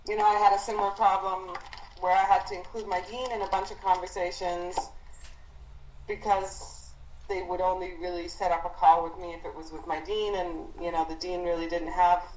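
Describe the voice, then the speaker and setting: A woman speaking as a professor leading an informal team discussion on a conference call, in a hesitant, thoughtful, halting manner. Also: lowish voice, level tone, a little mellow, reverberant, a little hiss or hum, poor audio.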